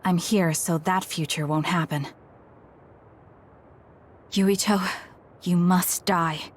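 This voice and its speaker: A woman's voice: on the low side, steady tone, slow. An actress playing a grimly resolved young female psychic fighter in an English video game, speaking tersely and intensely. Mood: grim resolve.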